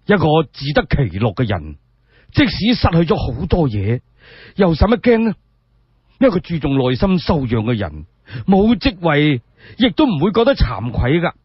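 A Cantonese man narrates a dramatic radio tale alone. His voice is fairly high and husky, with very lively swings in pitch and measured, expressive phrasing. The lo-fi recording is a little muffled. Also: no room sound. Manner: expressive, measured, with rhetorical asides. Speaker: solo storyteller.

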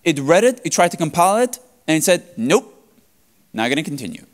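Lively, joking man at a conference talk. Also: on the high side, brisk. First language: Hebrew. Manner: playful, joking, animated with hand gestures. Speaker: conference speaker. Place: large conference hall.

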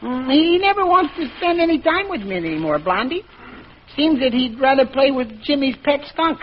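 A man's voice: very high-pitched, sing-song, moderate pace. An American actor playing a flustered, wistful, bumbling father in an old radio comedy, stammering and chuckling nervously. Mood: wistful, insecure. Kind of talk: 1940s radio comedy acting. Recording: muffled, hissy old radio recording.

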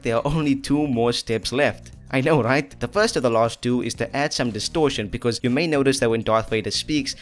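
A man's voice: mid-range voice, a bit bright. A young man presenting a casual tutorial in a jokey, self-deprecating, chatty way. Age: young adult. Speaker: host of a video effects tutorial channel. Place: small dim room indoors.

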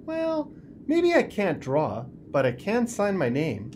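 A young storybook schoolgirl, as a man reads her, stubborn and unsure of herself. She speaks slowly and emphatically, with a lifted, lively pitch. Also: a little hiss or hum, exaggerated voice. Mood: determined.

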